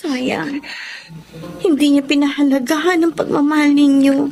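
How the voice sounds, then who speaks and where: A woman playing a polite, soft-hearted young working daughter in a Filipino drama, respectful and earnest in manner. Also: high voice, fairly even intonation, normal speed, vocal fry. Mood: gentle, sorrowful. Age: young adult. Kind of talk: Filipino radio drama acting.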